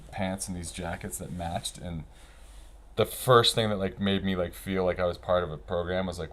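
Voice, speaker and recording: A man's voice: low-pitched, slight background noise. A man around fifty speaking as a guest, telling stories casually in loose, slangy talk. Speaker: interview guest, snowboarding veteran. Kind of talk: casual storytelling while flipping through a book.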